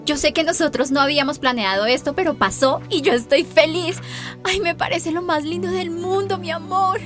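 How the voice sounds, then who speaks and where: A woman's voice: very high-pitched, moderate pace, a bit bright, soft background music. An actress playing a lovestruck, headstrong seventeen-year-old girl in a Colombian radio soap, with a Colombian accent. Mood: elated. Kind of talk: radio soap opera acting.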